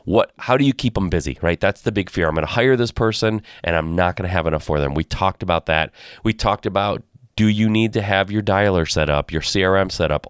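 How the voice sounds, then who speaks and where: A man hosting a podcast who is under the weather, talking in a chatty, energetic way in a live Q&A. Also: sits low, smooth, dry recording, studio quality. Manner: energetic, chatty, repeats phrases. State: under the weather, voice deeper than usual. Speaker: podcast host. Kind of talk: casual live Q&A talk.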